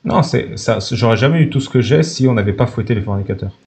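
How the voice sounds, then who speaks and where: A man's voice: medium pitch, expressive, fast talker, gravelly, a little mellow. A man hosting a heated live-stream debate, blunt and insistent.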